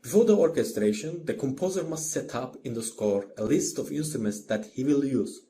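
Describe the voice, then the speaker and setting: A man's voice: medium pitch, animated, fairly quick, mellow, slight background noise. A man with an Azerbaijani accent narrates a scripted lecture as a teacher, methodically, in careful short phrases. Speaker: orchestration teacher.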